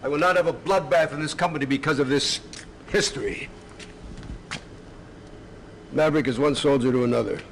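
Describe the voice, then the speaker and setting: A man's voice: medium pitch, moderate pace, long pauses, natural voice. Man as stern commander in a reverberant hall. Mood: stern, firm. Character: stern, seasoned male naval air commander. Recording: long hall reverb, faint noise.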